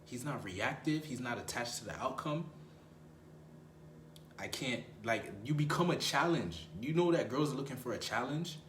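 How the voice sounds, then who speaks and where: A young man with a low voice, giving dating advice in a casual, slangy way and often repeating himself for emphasis. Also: expressive, moderate pace, fairly bright tone, echoey room, slight background noise. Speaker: dating coach.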